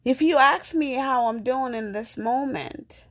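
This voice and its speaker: A woman from Jamaica hosting her own podcast, talking in a soft, slow and earnest reflective monologue. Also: sits high. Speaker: podcast host.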